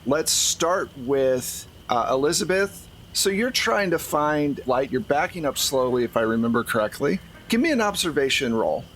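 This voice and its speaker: A man's voice: fairly high pitch, very animated, normal speed, few pauses, fairly bright tone. Man game master narrating over faint noise. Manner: conversational, descriptive storytelling. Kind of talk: narrating a horror tabletop roleplaying game. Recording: faint background ambient noise.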